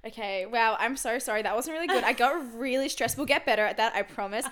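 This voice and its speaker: A young woman, talking loud and bubbly and laughing easily. Her voice sits a little high. She co-hosts a casual chat podcast on a handheld mic held well back from her mouth. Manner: loud, excitable and quick to laugh. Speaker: podcast co-host. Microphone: handheld mic held away from mouth.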